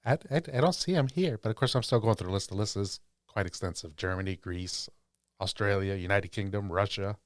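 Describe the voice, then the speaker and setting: A man's voice: fairly low pitch, brisk, dry recording, studio quality. A man chatting casually as the co-host of a podcast. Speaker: podcast co-host and tech person.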